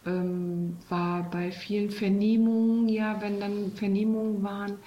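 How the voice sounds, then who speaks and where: A middle-aged woman whose first language is Turkish, a police officer, speaking hesitantly with pauses and fillers in an interview. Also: medium pitch, level tone, dull audio, a little hiss or hum. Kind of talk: casual interview talk. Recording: echoey room.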